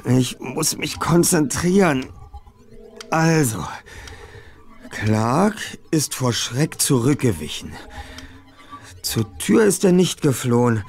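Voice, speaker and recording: An actor playing a frightened teenage boy detective in an adventure game, with a dramatic, drawn-out delivery. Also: mid-range voice, very animated, a little mellow. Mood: musing, uneasy. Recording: music behind the voice.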